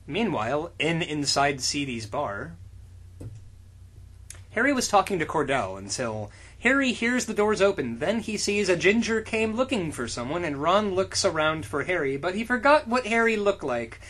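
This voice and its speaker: Husky man reading with mock drama. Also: fairly high pitch, sing-song, moderate pace, a bit bright. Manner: mock-dramatic, laughs often, sarcastic asides. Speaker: podcast co-host reading fan fiction aloud. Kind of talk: comedic dramatic reading with banter.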